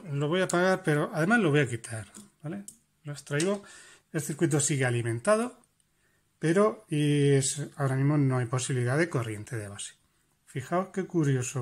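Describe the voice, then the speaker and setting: Man teaching electronics, explaining step by step. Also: fairly high pitch. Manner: explanatory, checks in with frequent "vale?". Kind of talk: hands-on lesson demonstrating and calculating.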